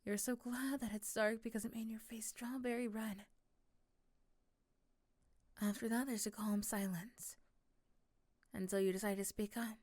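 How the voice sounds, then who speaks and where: Soft-spoken woman narrator, very dry sound. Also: on the high side, fairly even intonation, measured pace, pauses often, no room sound. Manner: soft, often dropping to a whisper. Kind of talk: scripted second-person narration, ASMR romance.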